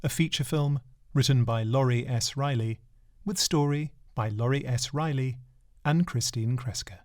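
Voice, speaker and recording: A man giving a slow, formal scripted introduction as announcer. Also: medium pitch, darkish tone, dry recording, clean studio sound.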